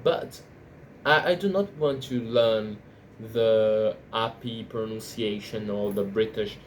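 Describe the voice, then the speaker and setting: A Brazilian man speaking English as a second language, his first being Portuguese, in an unscripted monologue, often halting and restarting his sentences. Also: lowish voice, a little hiss or hum. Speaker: language learner vlogger.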